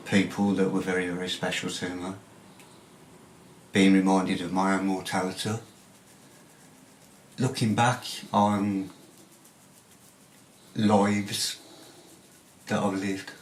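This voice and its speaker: A middle-aged man, a poet, reflecting on his life and reading his poems in a candid, plain-spoken, halting way. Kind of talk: frank personal reflection and poetry reading. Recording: noisy ambience, rumbling background noise.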